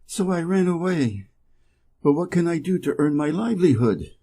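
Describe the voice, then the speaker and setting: A man's voice: fairly high pitch, lively intonation, normal speed, mellow, slightly rough, pitched above the performer's own voice. A man with an American accent voicing a sorrowful, worn-out old hunting dog in a storybook, in a lamenting manner.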